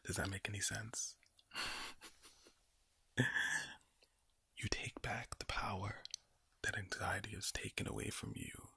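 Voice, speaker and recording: A man speaking in a breathy, low ASMR whisper close to the microphone, deliberately repeating words in a soothing yet sinister-sounding way. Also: flat delivery, sharp tone, no room sound. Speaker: ASMR performer. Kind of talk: ASMR monologue of whispered and low soft reassurance.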